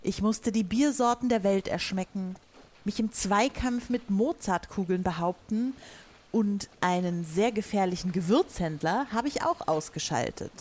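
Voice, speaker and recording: A woman's voice: on the low side, measured pace, a little mellow. A woman playing a commanding pirate captain in an improvised audio play, speaking in ceremonious, old-fashioned phrases. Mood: solemn, commanding. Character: commanding female pirate captain addressing her crew.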